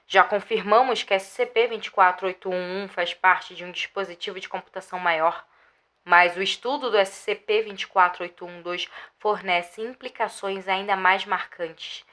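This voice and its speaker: A woman with a Brazilian Portuguese accent playing a researcher, reading a scripted scientific report in a formal, measured way. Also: mid-range voice, fairly even intonation, sharp tone, lightly acted. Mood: formal, expository. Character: female researcher presenting formal findings on an anomaly. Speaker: narrator.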